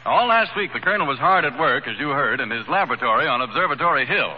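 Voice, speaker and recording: A man's voice: mid-range voice, expressive, fast talker. A man announcing in a formal, polished 1930s radio style. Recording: muffled 1930s radio with hiss.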